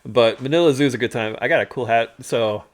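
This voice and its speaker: A man's voice: mid-range voice, fast. Casual chuckling man telling stories. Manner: chuckles often, animated retelling with acted-out quotes. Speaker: storytelling show host. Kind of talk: casual humorous storytelling over photos. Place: live online stream.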